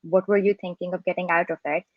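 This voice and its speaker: A woman's voice: on the low side, steady tone, fast, no echo. Young woman moderating over a dry call. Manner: friendly, scripted introductions, uses "um" and "like". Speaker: host, panel moderator. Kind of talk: moderating a panel interview. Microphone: video call. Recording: dry muffled video call audio.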